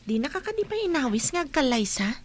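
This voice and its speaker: A woman's voice: sits high, big pitch swings, quick pace. A woman playing a nosy, teasing young friend in an audio drama. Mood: curious.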